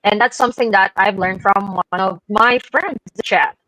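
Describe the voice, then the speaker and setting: A woman's voice: mid-range voice, moderate pace, sharp tone, no echo, rough recording. A woman giving informal, opinionated commentary as a panelist on a gaming talk show.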